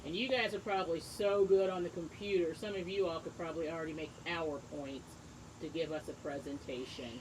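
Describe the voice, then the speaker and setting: A woman teacher reading a book aloud to young children, encouraging them and pausing to ask questions and explain things simply. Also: deep voice, steady tone, background noise. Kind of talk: read-aloud lesson with asides and questions to children.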